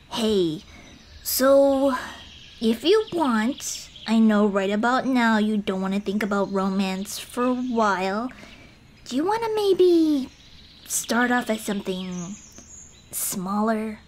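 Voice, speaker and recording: A woman's voice: on the high side, a little hiss or hum. Woman voicing a shy, lilting little goblin girl. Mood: awkward, tentative. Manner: hesitant, timid, soft-hearted, halting. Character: small, shy, kind-hearted female goblin, gently comforting. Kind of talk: ASMR roleplay, intimate one-sided dialogue. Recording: birdsong and outdoor ambience behind voice. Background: birdsong, nature ambience.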